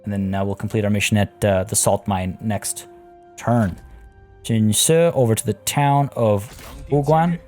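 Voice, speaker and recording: A relaxed man with a low, warm voice walks through his strategy game turn by turn. He sounds slightly hoarse, as if losing his voice. Faint game music plays quietly behind him. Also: unhurried. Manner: relaxed, thinking aloud with many fillers. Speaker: let's play host. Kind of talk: casual gameplay commentary.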